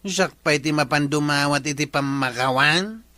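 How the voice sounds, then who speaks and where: Man playing a bright-voiced, boastful Ilocano village watchman. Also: a little high, normal speed, dry recording. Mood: indignant. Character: boastful male village watchman chief, indignant and whining. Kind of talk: Ilocano comedy audio drama acting.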